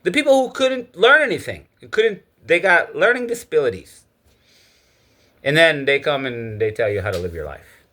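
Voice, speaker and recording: A man's voice: on the high side, sing-song, moderate pace, sharp tone. Man from Egypt, lecturing monologue. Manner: opinionated, lecturing. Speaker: speaker giving his views. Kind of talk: casual spoken monologue.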